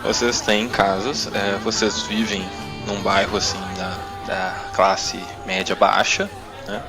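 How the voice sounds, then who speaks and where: A man's voice: fairly low pitch, level tone, slow, over a noisy crowd. A Brazilian man narrating a tabletop role-playing game as game master and podcast host, with music behind him.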